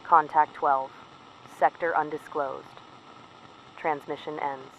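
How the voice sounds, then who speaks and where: A man playing a troubled resistance field operative, giving a precise, measured report in short sentences on a dull, noisy field recording. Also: a little high, little pitch movement. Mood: grave, certain. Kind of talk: scripted audio drama field report.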